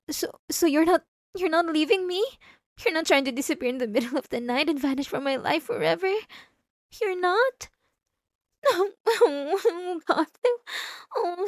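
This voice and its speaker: A woman performs as a possessive yandere wife, obsessed with her spouse. Her voice is on the high side and sits close and dry, with no room sound. She speaks intimately and theatrically, in the manner of an ASMR roleplay monologue. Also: no echo. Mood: confused, startled, then flustered relief. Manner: intimate, theatrical, drawn-out emphatic phrases.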